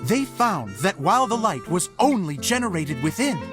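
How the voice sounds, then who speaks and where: A woman voicing a theatrical storyteller and show director in a video game, narrating like a storybook in a measured, expressive way. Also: medium pitch, over music, natural voice. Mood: storytelling.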